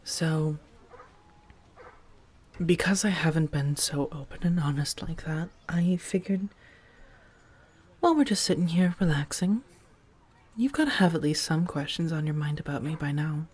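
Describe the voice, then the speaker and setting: A woman playing an affectionate, playful female vampire roommate in a soft-spoken, gently teasing ASMR roleplay. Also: deep voice, measured pace, long pauses. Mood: tender, relaxed. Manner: gentle, chatty, teasing, with soft murmurs and breathy asides.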